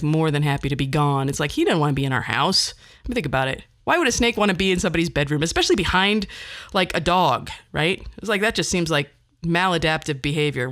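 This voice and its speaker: A woman's voice: deep voice, fairly bright tone. A woman hosting a podcast, telling comic personal stories in a playful, conversational way. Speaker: podcast host. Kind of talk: comedic personal storytelling podcast.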